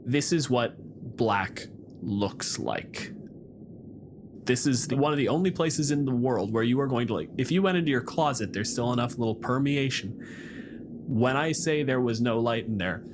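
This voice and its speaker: A man's voice: medium pitch, animated, unhurried, background noise. A man on a podcast, chatting casually and telling anecdotes with emphasis. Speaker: podcast panelist.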